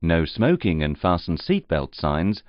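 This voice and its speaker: A man reading scripted instructional narration in a formal, measured, carefully enunciated way. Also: deep voice, big pitch swings, no echo. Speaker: training narrator. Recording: slightly muffled, dull audio.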